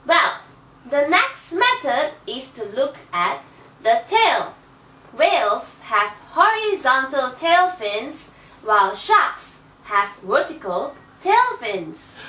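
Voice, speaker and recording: Woman as shark puppet teacher, high and lively, exclamatory, children's show, echoey and distant. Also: slow, full character voice. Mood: explaining. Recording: roomy echo, distant microphone.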